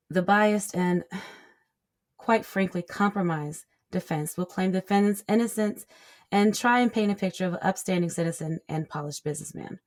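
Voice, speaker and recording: A woman playing a stern, accusatory prosecutor in a scripted courtroom drama, with a formal, measured, pointed delivery. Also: on the low side, darkish tone, close to an everyday voice.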